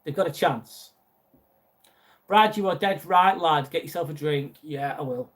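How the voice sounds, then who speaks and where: A young man from northwest England with a Lancashire accent, hosting a live video chat. His voice sits a little high for a man. He talks in a loose, friendly way, reading viewers' messages aloud and answering each one. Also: unhurried. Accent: Lancashire English. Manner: chatty, reads out viewer messages and answers them. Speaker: live stream host.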